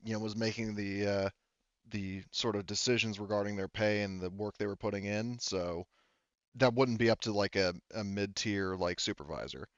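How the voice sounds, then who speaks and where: A man's voice: fairly low pitch, normal speed, no room sound. A man explaining things casually and a little hesitantly as game master of a tabletop game, on a slightly dull microphone. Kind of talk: casual out-of-character rules and story talk.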